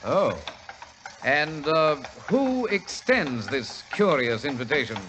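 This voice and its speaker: An actor playing a blustering, flustered middle-aged English doctor in a 1940s radio drama, with a British accent. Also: male voice, a little high, big pitch swings, slow. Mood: startled, wary. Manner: blustery, indignant, stammering. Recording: old radio recording with faint hiss.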